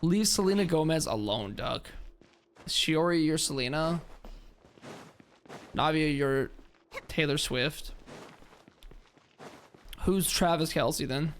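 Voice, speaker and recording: Casting: young man, joking streamer, slightly high voice, faint background hiss. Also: slow, long pauses. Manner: joking, swears, laughs often. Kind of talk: casual gameplay commentary.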